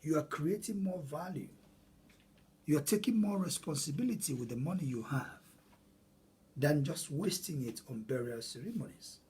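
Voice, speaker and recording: A man's voice: fairly high pitch, expressive, normal speed, mellow, husky. A man from Nigeria with a Nigerian accent, a native Igbo speaker, hosting an earnest, emphatic solo talk on money. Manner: earnest, emphatic, repeats phrases for stress. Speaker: host.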